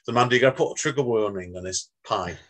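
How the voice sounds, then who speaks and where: A man's voice: lowish voice, fairly bright tone. A man hosting a casual podcast chat, joking and laughing as he moves things along. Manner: chatty, laughs often, quick asides. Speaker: podcast host.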